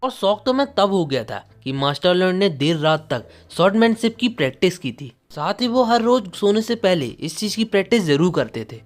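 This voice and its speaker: A man's voice: sits high, big pitch swings, moderate pace, few pauses. A man voicing an earnest, loyal retainer who speaks respectfully in a manga story. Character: earnest loyal male retainer, easily astonished.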